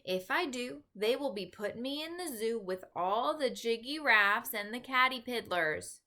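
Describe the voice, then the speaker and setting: A woman voicing a stubborn, gentle, pessimistic male giant with garbled folksy grammar in a children's storybook. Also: a little high, expressive, measured pace, voice pushed up. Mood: resigned. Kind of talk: children's storybook read-aloud.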